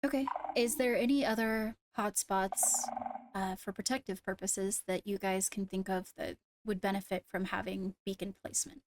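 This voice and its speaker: A woman playing a poised royal ruler in an improvised game roleplay, speaking formally and carefully with pauses to find words. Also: medium pitch, fairly even intonation, natural voice. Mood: composed, businesslike. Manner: measured, formal, hesitant between phrases. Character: poised female royal ruler addressing her court. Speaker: leader of the meeting.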